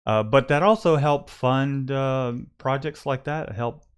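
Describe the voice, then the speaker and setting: A man hosts a relaxed livestream about running his print shop. He talks in a loose, rambling way, stopping and restarting his sentences and filling the gaps with "uh". His voice sits at an ordinary middle pitch. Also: a little slow, clear, resonant tone. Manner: rambling, with many restarts and fillers. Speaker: host and screen-print shop owner. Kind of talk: casual livestream chat.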